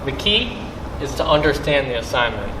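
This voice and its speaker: A man's voice: medium pitch, big pitch swings, moderate pace, background noise, natural voice. A young man playing a laid-back, joking teenage jock in a school play, recorded on an echoey stage. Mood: smug, joking.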